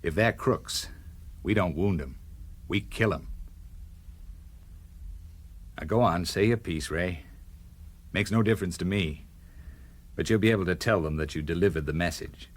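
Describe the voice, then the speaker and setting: American politician, radio play, deep register, principled, steely, cool, unbending. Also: male voice, moderate pace, pauses often. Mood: cold resolve. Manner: firm, cool, uncompromising. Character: resolute American senator, principled, hard-edged adult man. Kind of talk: radio drama acting.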